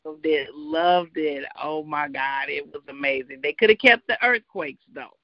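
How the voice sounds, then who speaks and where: A woman's voice: low-pitched, measured pace, muffled recording. A woman from Carolina, co-hosting a casual radio interview in a gushing, emphatic way. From Carolina, United States. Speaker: co-host and interviewer.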